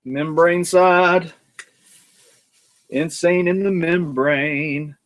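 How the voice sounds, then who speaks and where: Man, slightly high voice, loose and jokey, talks while cooking outside at the grill. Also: steady tone, extremely slow. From North Georgia, USA. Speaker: cooking show host. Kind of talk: casual cooking vlog. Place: outdoors at a grill in the mountains.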